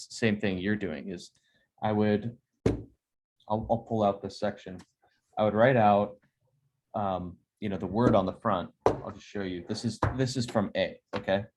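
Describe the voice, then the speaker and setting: A man's voice: lowish voice, darkish tone. A man in his thirties hosting a casual video call, explaining ideas in an upbeat, chatty way from a home office. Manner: upbeat, chatty, laughs often. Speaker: host. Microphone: over-ear headset on a video call.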